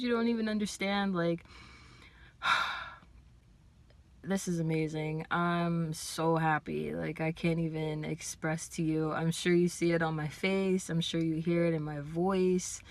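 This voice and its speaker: A young transgender man before hormone therapy, with a fairly high voice, chatting excitedly to his viewers in a casual vlog. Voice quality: higher-pitched voice. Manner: excited, fast-talking, chatty with many fillers. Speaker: vlogger.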